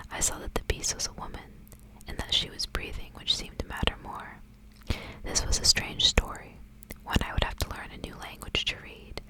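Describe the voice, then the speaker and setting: A woman speaks entirely in a whisper, in the manner of an ASMR host. Her delivery is soft, hushed and gentle, suited to reading stories aloud. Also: rough recording.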